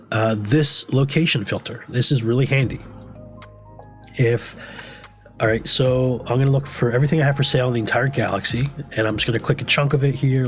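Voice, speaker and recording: A man with a stuffy, congested voice, casually talking through a screen-recorded game tutorial in a rambling, offhand way, swearing now and then. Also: mid-range voice. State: stuffy from a cold. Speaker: game vlogger.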